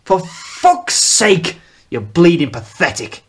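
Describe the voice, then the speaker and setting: A man voicing an older, domineering male clan patriarch with a gravelly, bassy northern English accent and a commanding manner. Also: animated, normal speed, fairly bright tone. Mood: contemptuous. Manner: commanding, contemptuous.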